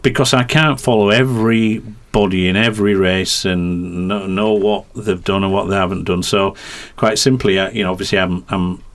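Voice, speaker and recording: Middle-aged Yorkshire-tinged man, lowish voice, rambling detailed talker. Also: fairly even intonation, moderate pace, few pauses. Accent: Yorkshire twang. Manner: rambling, hesitant, detail-heavy explanations. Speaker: racing commentator and guest. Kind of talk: podcast conversation.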